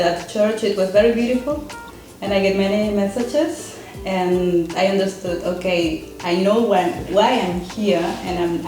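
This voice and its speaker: A woman from Peru, a guest in a casual chat, speaking earnestly and haltingly as she searches for words. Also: mid-range voice, darkish tone. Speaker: guest, seminar student. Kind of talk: casual conversation. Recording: very echoey room, faint music.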